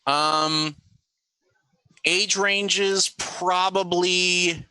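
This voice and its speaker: A man running a tabletop role-playing game, improvising narration and haltingly thinking aloud as he goes. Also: high-pitched, steady tone, bright, forceful tone, smooth, no echo, rough recording. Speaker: game master.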